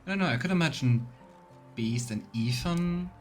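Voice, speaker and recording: A man's voice: medium pitch, expressive, moderate pace. A man doing a casual voiced let's play, musing and thinking aloud as he comments. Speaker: streamer, let's player. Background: faint game music.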